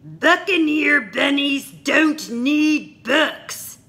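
A woman's voice: sits high, lively intonation, drawn-out words, gravelly, pitched above the performer's own voice, heavily acted. A woman voicing a bossy male pirate bunny captain who barks orders in a storybook read-aloud. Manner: shouting orders.

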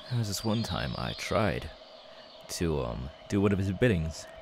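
A man playing a young, unhinged masked serial killer in an ASMR audio roleplay, creaky and halting, muttering with erratic, twitchy menace. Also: deep voice, big pitch swings, background noise, full character voice. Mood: confiding, troubled. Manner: halting, muttering, sudden tics and hoots.

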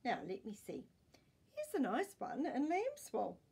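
A woman puts on a fussy menswear salesman from a picture book. He talks in courteous, apologetic patter, high and very animated. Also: unhurried, vocal fry, slightly rough, full character voice. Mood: eager, selling.

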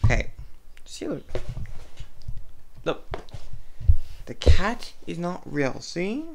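A man's voice: fairly high pitch, sing-song, extremely slow, vocal fry, background noise. A young man reading a picture book aloud as a story time host, stumbling as he goes, with sound effects and playful asides to a puppet. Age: young adult. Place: small room indoors.

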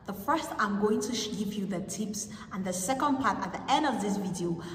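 A woman's voice: medium pitch, expressive, distant mic, a little hiss or hum. Cameroonian woman teacher in an echoey room. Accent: Cameroonian English. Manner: clear, measured teacherly delivery. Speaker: online English teacher. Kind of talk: instructional video talk. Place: empty classroom indoors.

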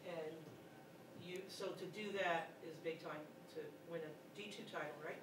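A woman's voice: lowish voice, fairly even intonation, moderate pace, slight background noise, poor audio. A woman sports reporter asking a coach a question at a press conference in a friendly, chatty way.

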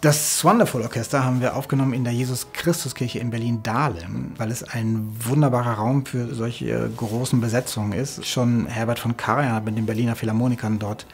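A man gives interview commentary as a music arranger, in a voice of ordinary male pitch. Music plays faintly underneath him. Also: fairly even intonation, a little fast, creaky, few pauses, darkish tone. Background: background music.

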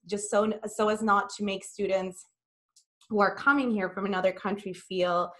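A woman's voice: medium pitch, fairly even intonation, normal speed, fairly bright tone. A young woman from El Salvador presenting earnestly in a webinar over a video call. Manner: earnest, with fillers.

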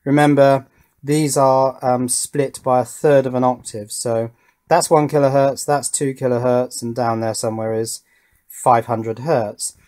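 A man's voice: medium pitch, level tone, a little slow, a little mellow. A middle-aged British man giving an unscripted hands-on electronics demonstration, methodical and thinking aloud as he goes. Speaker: electronics hobbyist presenter. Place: indoor home workbench.

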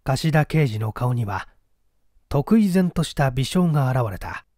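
A man's voice: mid-range voice, sing-song, measured pace, mellow, no echo, clean studio sound. A man narrating a mystery story in a dramatic, scripted reading style.

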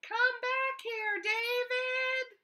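A woman voicing an exasperated mother who shouts at her young son in a children's picture book read-aloud, recorded on a webcam in her living room. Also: level tone, very slow, full character voice. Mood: scolding. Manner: shrill, emphatic shouting. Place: living room at home, on a couch. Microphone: webcam at desk distance.

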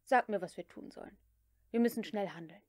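A woman voicing a cool, mocking gentleman who takes command, as part of an audiobook reading. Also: medium pitch, a little fast, dry recording, lightly acted. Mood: tender, soothing. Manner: sardonic, crisp, commanding. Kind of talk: dramatic audiobook character reading.

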